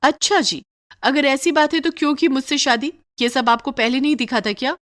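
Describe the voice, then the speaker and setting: A woman's voice: high voice, normal speed, a bit bright, pitched above the performer's own voice. A woman voicing a haughty, spoiled rich young wife who complains indignantly, in a Hindi moral story cartoon. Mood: angry, whining.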